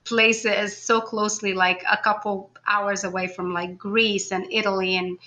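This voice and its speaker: A woman speaks as an interview guest in a casual, chatty way. Her voice is bright and crisp-edged, sitting at an ordinary middle pitch. She keeps the wording informal and conversational. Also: level tone, a little slow. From Egypt. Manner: chatty, informal, often laughs. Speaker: podcast guest, food blogger.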